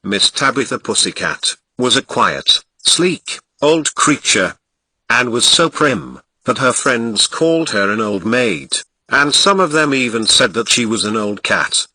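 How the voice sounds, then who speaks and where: Bright, lively Ghanaian man reading a fairy tale. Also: medium pitch, measured pace, dry recording, poor audio. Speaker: narrator. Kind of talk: storybook reading.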